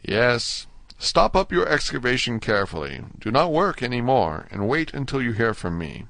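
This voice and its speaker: A man voicing a mysterious older male prisoner in a storybook, subdued, measured and sorrowful. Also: medium pitch, sing-song, vocal fry, fairly bright tone, dry recording. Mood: guarded.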